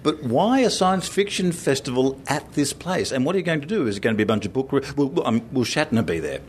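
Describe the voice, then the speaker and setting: A man's voice: medium pitch, animated, fast, slight background noise. An Australian man interviewing people casually in a jokey, colloquial, teasing way. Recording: busy crowd chatter behind. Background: crowd chatter.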